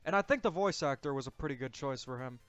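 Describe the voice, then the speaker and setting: A man's voice: mid-range voice, animated, fast, fairly bright tone, smooth, dry recording. A man hosting a casual movie discussion, giving his opinions and explaining them. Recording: dull lo-fi audio, music bed. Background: music.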